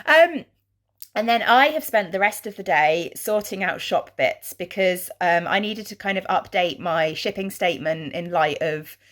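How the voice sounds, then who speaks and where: A woman vlogging in a chatty, rambling way, her voice husky from congestion. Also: on the low side. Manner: rambling, chatty, with many ums. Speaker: craft vlogger. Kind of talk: casual vlog chat. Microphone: handheld camera.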